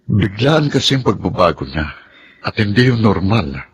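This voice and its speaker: A man's voice: lowish voice, sing-song, normal speed, breathy edge, full character voice. A Filipino actor playing a mild, cautious father in a radio drama. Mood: pensive, wary. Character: mild, cautious father, remarried and wary of deceit.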